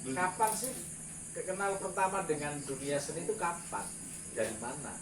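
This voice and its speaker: A man with a Banyumasan Javanese accent hosts a casual night-time interview, chatty and loose, often tacking on "ya". His voice sits a little high and comes from some way off the mic, in a roomy space with clear cricket noise behind. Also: animated, moderate pace. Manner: chatty, leans on filler "ya". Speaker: host. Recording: roomy, distant, noisy with crickets.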